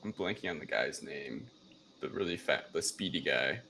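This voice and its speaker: Bright-voiced, low-pitched man recapping casually. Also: expressive, moderate pace, creaky, slight background noise. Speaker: podcast co-host. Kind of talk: casual film recap chat.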